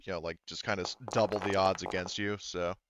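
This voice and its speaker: A man refereeing a tabletop game as game master in casual, hesitant, halting phrases. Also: sits low, flat delivery, a little hiss or hum.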